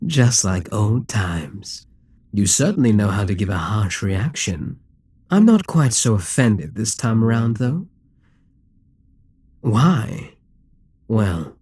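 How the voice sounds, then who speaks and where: Man voicing a slow, breathy, smug Demon King. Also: on the low side, a little mellow, dry recording, clean studio sound, heavily acted. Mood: smug, amused. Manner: smug, coaxing, theatrical, often dropping to whispers. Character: dominant, gloating male Demon King toying with a captive hero. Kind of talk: slow, intimate ASMR roleplay acting.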